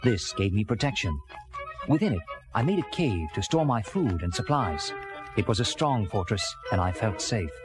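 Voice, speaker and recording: A man's voice: fairly low pitch, very animated, normal speed, poor audio. An actor playing an earnest castaway sea captain, telling his story in theatrical first-person storybook narration. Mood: earnest, reflective. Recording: music bed under early-1980s children's record. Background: dramatic background music.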